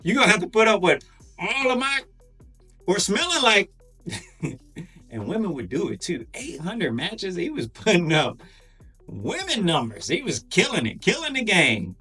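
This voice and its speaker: A man's voice: sits high, wildly swooping pitch, moderate pace, pauses often, pushed and bright, husky. A man hosting a reaction video, giving casual joking commentary over clips. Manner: joking, chuckling asides. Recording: distant mic with music under.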